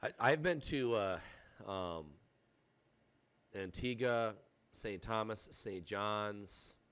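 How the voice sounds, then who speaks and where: A man's voice: fairly low pitch, extremely slow, fairly bright tone, smooth. A man with a head cold, sounding stuffy, hosting a podcast with easygoing banter and chuckles. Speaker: podcast host. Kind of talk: casual chat.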